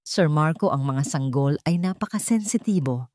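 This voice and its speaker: A woman's voice: deep voice, lively intonation, moderate pace, darkish tone, no room sound, clean studio sound. Woman reading as a grave, frank male pediatrician. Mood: grave, explaining. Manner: formal, explanatory.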